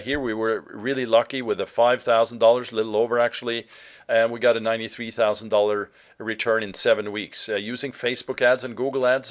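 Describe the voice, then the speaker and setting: Conversational man presenting a marketing webinar. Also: medium pitch. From Norway.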